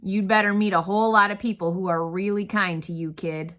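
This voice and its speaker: A storybook bus driver, acted out by a woman, brusque and wry. He grumbles and grudges every favor but has a kind streak. The voice is bright and forceful, at a medium pitch for a woman. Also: steady tone, fairly quick, close to an everyday voice. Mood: wry, grudgingly kind. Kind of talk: storybook read-aloud character.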